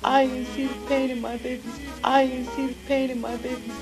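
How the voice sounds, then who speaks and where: A woman with a slightly high voice speaks in an emotional interview. Her delivery is informal and sorrowful, and music is clearly audible behind it. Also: level tone, fairly quick. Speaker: interviewee, bereaved mother.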